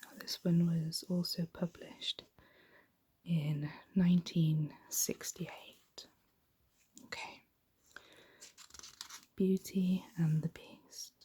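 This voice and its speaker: A woman giving a soft-spoken, gentle, hushed ASMR reading of a bedtime story in a breathy, whispery voice. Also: fairly low pitch, steady tone, drawn-out words, pauses often.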